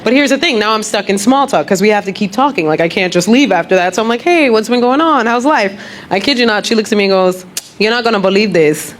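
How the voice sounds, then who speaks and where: A woman doing animated stand-up comedy at a club, often slipping into mimicked voices and laughing along. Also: fast. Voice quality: deep voice. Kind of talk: stand-up crowdwork set. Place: comedy club stage with live audience. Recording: loud crowd laughter, club noise.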